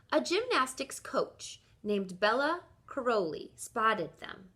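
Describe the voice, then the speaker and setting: Lady teacher reading a picture book to kids, slow n deliberate, bright forward voice, lots of emphasis. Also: medium pitch. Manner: deliberate, storytelling emphasis for young listeners. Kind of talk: expressive children's picture-book read-aloud.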